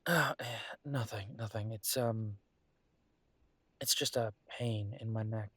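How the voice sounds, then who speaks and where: A man playing a caring, weary werewolf detective boyfriend in an intimate roleplay audio drama, speaking in an earnest, gently coaxing, conversational way. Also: on the low side, unhurried, dry recording. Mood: sheepish.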